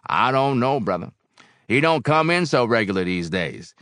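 Man playing a burly, folksy barkeeper, lively inflection. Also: mid-range voice, dry recording, studio quality, voice pushed up. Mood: confiding. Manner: mournful, folksy, unhurried. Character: big, burly barkeeper, genial, gloomy, loyal and firm. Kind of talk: audiobook character acting.

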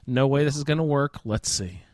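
A man's voice: medium pitch, fairly quick, darkish tone, no echo, clean studio sound. A man chatting informally as a panelist on a casual gaming roundtable podcast. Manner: informal, mildly profane.

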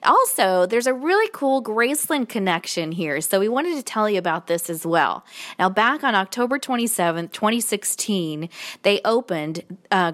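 A woman's voice: mid-range voice, fairly bright tone. A woman hosting a podcast in a chatty interviewing style. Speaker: podcast host.

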